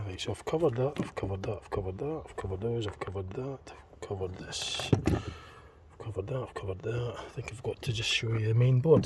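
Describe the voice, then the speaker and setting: Distant, noisy recording of a halting man. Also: mid-range voice, a little mellow. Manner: hesitant, halting, tentative. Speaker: hobbyist demonstrator in a homemade teardown video. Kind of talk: unscripted hands-on commentary, thinking aloud. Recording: distant mic, clearly noisy homemade recording.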